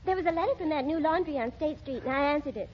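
Lady doing chirpy scatterbrained secretary girl, 40s radio, high voice, dull hissy recording. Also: rapid-fire, close to an everyday voice. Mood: chirpy, coy. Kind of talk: 1940s radio comedy acting. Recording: muffled, noisy old radio recording.

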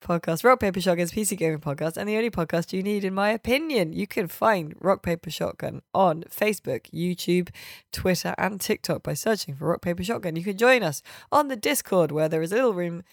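A woman's voice: fairly low pitch, a little fast, dry recording. Woman podcast host, dry close sound. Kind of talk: casual chatty podcast talk.

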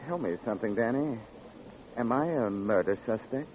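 An American actor playing a cagey, folksy lonely-hearts club operator, evasive and familiar, in an old-time radio crime drama. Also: male voice, medium pitch, lively intonation, normal speed, a little mellow, close to an everyday voice. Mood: wary. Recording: muffled, noisy vintage radio recording.

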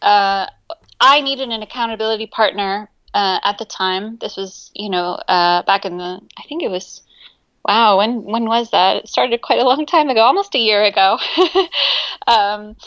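A woman's voice: medium pitch, fairly bright tone, clean tone, no room sound, lo-fi sound. A woman musician being interviewed in a casual chat, speaking hesitantly with many ums and easy laughter. Her first language is Greek. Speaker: musician guest.

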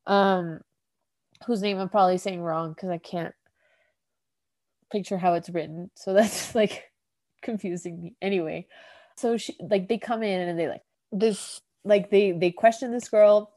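A woman hosting a podcast and chatting through an episode recap. Her voice is warm and mellow, pitched mid-range, and she rambles loosely with constant "like" fillers. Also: fairly even intonation, measured pace, pauses often. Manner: rambling, heavy use of "like", laughs often. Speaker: podcast host.

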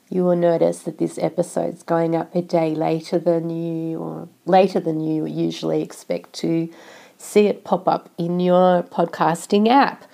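A middle-aged woman from Australia hosting a solo podcast, sounding nasal from a cold, talking in a measured, explanatory way. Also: lowish voice, gravelly, mellow. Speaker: podcast host.

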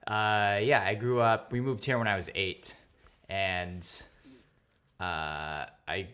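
A man's voice: lowish voice, fairly even intonation, slow, sharp tone, clear, resonant tone. A man from New York City, a comedian guest talking in an easygoing, loose way, peppered with "like" and "um". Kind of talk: casual interview chat.

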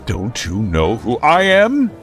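An actor playing a grandiose, vain, aging masked vigilante hero in old-time radio style, pompous and melodramatic. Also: male voice, medium pitch, huge pitch swings, takes it slowly, a bit bright, soft background music, exaggerated voice.

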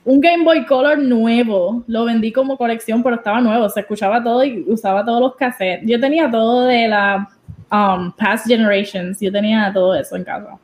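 A woman's voice: fairly high pitch, brisk. A young woman with a Puerto Rican accent chatting casually as a podcast guest, dropping English words into her Spanish. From Puerto Rico. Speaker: guest streamer.

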